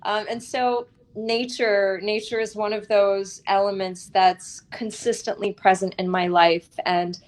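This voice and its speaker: A woman being interviewed as an author, talking at a middle pitch. She explains her ideas earnestly and reflectively, like a teacher of spiritual practice, and punctuates them with small tag questions. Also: level tone, measured pace, hardly any pauses. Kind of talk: conversational interview.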